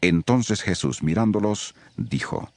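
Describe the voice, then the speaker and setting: Deep, gravelly male Bible narrator. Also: no echo. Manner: measured, expressive storytelling.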